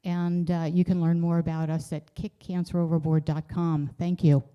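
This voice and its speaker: A woman's voice: on the low side, little pitch movement, normal speed, clean tone, dull audio. A woman speech coach giving a polished, promotional self-introduction.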